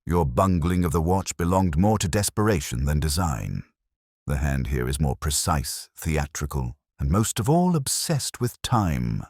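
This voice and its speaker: A mild, methodical police inspector, played by a male narrator in a detective audiobook. He speaks formally and deliberately in a very low voice, with an expressive rise and fall and a dry, close sound. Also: no echo, full character voice. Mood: calm, deliberate. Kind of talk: acted dialogue in story narration.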